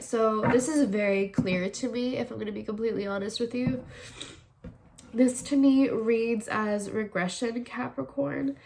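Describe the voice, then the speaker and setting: A woman's voice: medium pitch, slight background noise. Congested woman reading tarot. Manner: chatty, thinks aloud, repeats "all right". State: congested, sniffling. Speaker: tarot reader, channel host. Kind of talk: casual tarot reading to camera.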